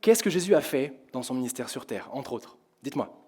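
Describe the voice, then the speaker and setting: A young man from France preaching to his congregation in a church hall, his voice hoarse, talking in a conversational way and asking the congregation questions. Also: medium pitch, animated, brisk, warm tone. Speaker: pastor. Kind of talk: sermon. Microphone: headset with in-ear monitor.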